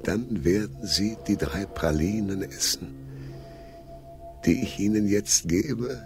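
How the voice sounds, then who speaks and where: An actor playing a deranged, vain theatre director and hypnotist in a radio crime drama, with a commanding, theatrical delivery. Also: male voice, fairly low pitch, slow pace, gravelly, music in the background. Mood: hypnotic, commanding.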